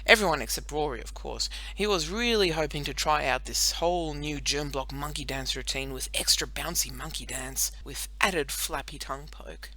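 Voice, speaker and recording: A woman narrating a children's story in an expressive, animated storytelling manner. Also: low-pitched, normal speed, hardly any pauses, poor audio. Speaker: audiobook narrator.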